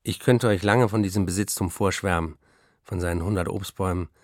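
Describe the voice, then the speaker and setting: An actor playing a hard-drinking, excitable male novelist with a gravelly, husky voice, reading letters and memories in a radio play, dryly and wryly. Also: deep voice, brisk, no echo, lightly acted. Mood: proud, contented. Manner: dry, wry first-person storytelling and letter reading.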